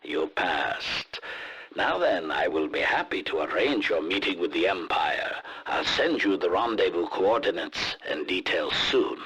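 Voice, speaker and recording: An actor playing a smug, calculating crime prince in a video game, raspy, formal and condescending. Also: male voice, low-pitched, animated, a little slow, a bit bright, exaggerated voice, low-quality recording.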